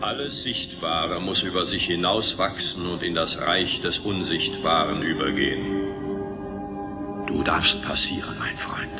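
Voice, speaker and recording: An actor playing a weary, gruff old guardian in a radio play, theatrical and solemn. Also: male voice, low voice, unhurried. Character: old, weary guardian program of a tower, gruff but wise. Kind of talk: dramatic radio play acting. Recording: echoing voice under music.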